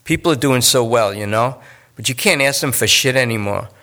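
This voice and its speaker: A man voicing a jittery, talkative male junkie who rambles and pleads in fast bursts. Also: medium pitch, pushed and bright. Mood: pleading.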